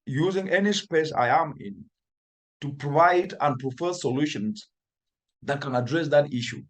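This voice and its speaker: A man's voice: fairly high pitch, moderate pace. Earnest, emphatic Cameroonian man in interview. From northwestern Cameroon. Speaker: interviewed environmental expert. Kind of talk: earnest interview answers, storytelling.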